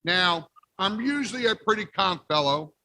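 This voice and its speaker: A man reading a picture book aloud as the wolf, playful with big pauses. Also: sits high, expressive, bright, forceful tone, low-quality recording. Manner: playful, exaggerated sneezes and storyteller pauses. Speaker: guest reader. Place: library event.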